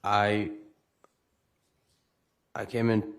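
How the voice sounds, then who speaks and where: Low-voiced young Ukrainian man testifying. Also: little pitch movement, extremely slow. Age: 20s. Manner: hesitant, brief answers. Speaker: defendant testifying as witness. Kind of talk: courtroom testimony. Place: courtroom. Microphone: witness stand microphone.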